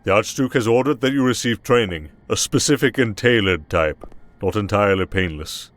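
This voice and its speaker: A man playing a stern, dignified aide at a vampire court in an ASMR roleplay, formal, measured and courteous. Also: fairly low pitch, close to an everyday voice. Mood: formal, composed.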